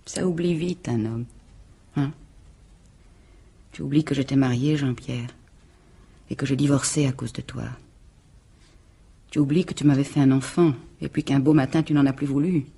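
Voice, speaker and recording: A woman's voice: rumbling low, moderate pace, long pauses, dark tone, breathy edge, exaggerated voice. An actress playing a bitter, jealous woman bent on revenge, theatrical and sardonic, in 1950s French radio drama style. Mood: bitter, wounded. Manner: theatrical, sardonic, menacing monologue. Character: bitter, jealous, vengeful scorned woman turned cold killer.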